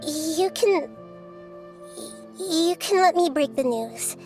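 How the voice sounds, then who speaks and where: A small, gentle girl deity from a video game, with a high young voice. She speaks very slowly and thoughtfully, wise beyond her apparent age, with music behind her. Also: expressive, exaggerated voice. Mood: solemn. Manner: measured, thoughtful, softly hesitant. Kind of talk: video game character acting.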